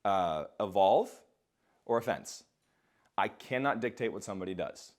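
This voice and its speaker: A man's voice: on the low side, expressive, moderate pace. A man giving a blunt, motivational talk to a small group and answering questions, swearing freely. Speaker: speaker and coach. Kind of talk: motivational speech and Q&A.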